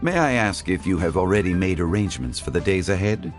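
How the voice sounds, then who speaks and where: A man voicing a courteous, refined gentleman in a video game who speaks in a formal, measured and eloquent way. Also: low-pitched, lively intonation, faint music behind. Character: refined, courteous gentleman fond of the finer things. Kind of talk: video game cutscene dialogue.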